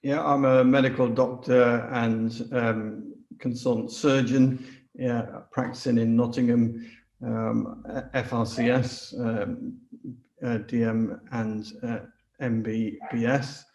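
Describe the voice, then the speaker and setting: A man speaking as an expert medical witness, answering in a halting, understated way in a roomy recording. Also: medium pitch, little pitch movement, a little mellow, dull audio. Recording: roomy, echoing sound.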